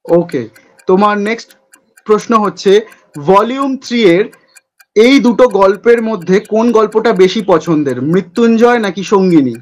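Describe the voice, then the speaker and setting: A man hosting a playful quick-fire question segment in a chatty interview style. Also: high voice, animated.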